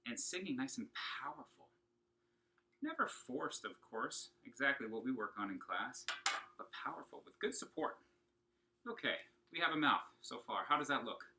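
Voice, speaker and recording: A man's voice: medium pitch, sing-song, normal speed, pushed and bright, a little hiss or hum, low-quality recording. A man giving an upbeat, encouraging lesson to children, talking to them directly and asking questions as he goes. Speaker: children's singing and opera teacher. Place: at home.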